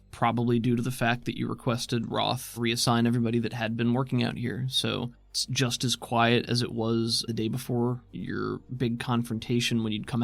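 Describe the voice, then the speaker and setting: Gravelly man narrating a tabletop game. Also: medium pitch, dry recording. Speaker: game master.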